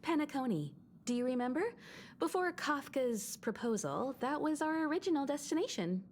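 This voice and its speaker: Young female space traveler, lively swooping pitch, theatrical and articulate, video game dialogue, some background noise. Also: moderate pace. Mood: calm, informative. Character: young woman space traveler, wistful, eager for adventure.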